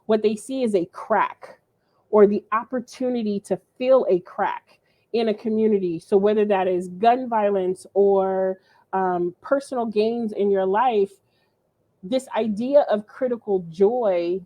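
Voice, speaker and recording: A woman's voice: mid-range voice, a little slow, mellow. Earnest woman explaining ideas. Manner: earnest, explanatory, with self-corrections. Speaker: guest presenter, professor. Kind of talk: conversational lecture.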